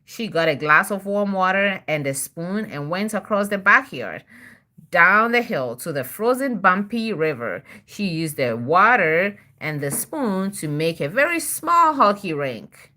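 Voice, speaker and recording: Mother reading picture books aloud; bright, highly animated voice, simple explanations, checks in with the child. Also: a little slow.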